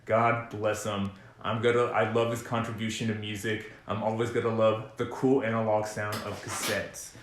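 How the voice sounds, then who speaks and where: A man giving a casual, unscripted music gear demonstration, pausing often mid-sentence as he talks. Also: lowish voice, level tone, echoey room. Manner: halting, pausing mid-sentence between phrases. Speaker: host of a music gear demo.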